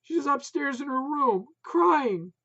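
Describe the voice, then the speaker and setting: A reader voicing an emotional wife who shouts shrilly over the noise of barking dogs. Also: high-pitched, lively intonation, slow, dull audio, pitched above the performer's own voice, heavily acted. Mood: shrill shouting.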